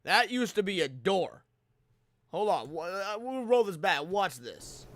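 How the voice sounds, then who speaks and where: High-voiced man livestreaming, slangy and profane. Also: very animated, unhurried, fairly bright tone, dry recording. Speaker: livestream host. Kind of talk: casual live reaction commentary to horror series.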